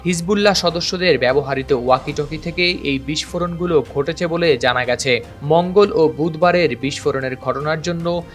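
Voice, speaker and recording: A man with a Bangladeshi accent reads a scripted news report in a formal, measured manner. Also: a little high. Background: background music.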